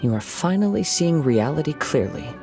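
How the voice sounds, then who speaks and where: An actor playing a calm, thoughtful young wandering swordsman in a video game, speaking in a composed, poetic way. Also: fairly high pitch, moderate pace, vocal fry. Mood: gentle, measured. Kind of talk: video game story narration and dialogue. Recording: music behind the voice.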